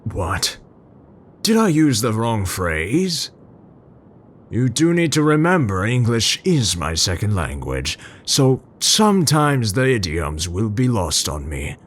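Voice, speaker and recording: A man playing a tender, scholarly scientist in an intimate ASMR roleplay, speaking formally and haltingly. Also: fairly low pitch, a bit bright, slight background noise, heavily acted. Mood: apologetic, matter-of-fact. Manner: halting, formal, professorial, hesitant pauses. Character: tender, scholarly Dutch male scientist, brooding but gentle.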